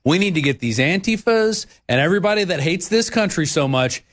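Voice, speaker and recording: A man hosts a call-in radio show in a voice a little higher than most men's. He sounds brisk, upbeat and conversational, keeping things moving as he brings callers on and answers them. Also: expressive, a bit bright. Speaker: radio talk show host.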